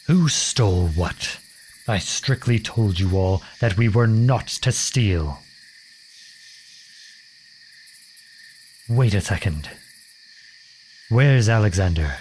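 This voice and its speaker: Casting: male actor as young outlaw prince for audio roleplay, protective and folksy, slow delivery with lively intonation. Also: fairly low pitch, a little hiss or hum. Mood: stern, worried. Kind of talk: ASMR roleplay acting.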